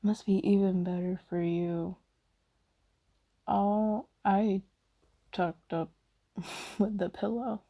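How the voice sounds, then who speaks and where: A woman voicing a kindly, apologetic demon in a soft, half-whispered, hesitant and reassuring ASMR comfort roleplay. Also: medium pitch, steady tone, slow pace, pauses often. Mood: shy, gentle. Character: kindly apologetic female sleep paralysis demon, gentle comforter.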